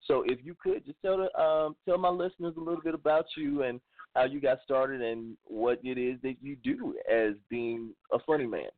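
A man's voice: mid-range voice, moderate pace, no echo. A man hosting an online radio show, welcoming and affirming his guest. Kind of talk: interview hosting. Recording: dry, phone-like sound.

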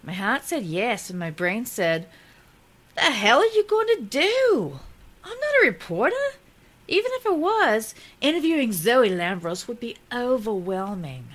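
Woman playing an anxious, animated young woman. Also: a little high, unhurried, exaggerated voice. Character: young woman, nervous would-be interviewer. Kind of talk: audio drama acting.

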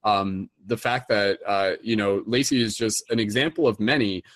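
A young man with a lowish voice hosts a class, rambling along with filler words everywhere. The sound is dry and close, with no room in it. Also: fairly even intonation, moderate pace, no room sound. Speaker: host. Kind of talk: casual online class hosting.

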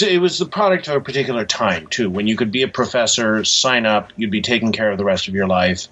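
A man with a fairly low, husky voice gives reflective interview answers. He picks his words hesitantly and thoughtfully, and the sound is a little dull and lo-fi. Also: a bit bright. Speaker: interviewed author.

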